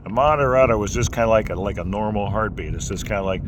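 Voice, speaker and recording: A man with a low voice teaching singing in a folksy, rambling way, with many fillers and restarts. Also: fast. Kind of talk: casual instructional talk. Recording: noisy background.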